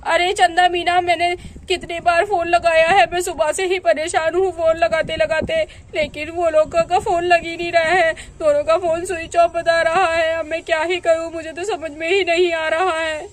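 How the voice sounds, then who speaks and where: A woman's voice: very high-pitched, little pitch movement, normal speed, hardly any pauses, slight background noise. A woman voicing a worried village mother who talks in a tearful, fretful way. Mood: anxious.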